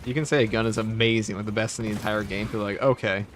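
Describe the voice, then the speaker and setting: A man's voice: on the low side, steady tone, racing. A man hosting a gaming livestream, reading viewer messages aloud and riffing on them casually. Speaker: streamer host. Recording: background noise under voice.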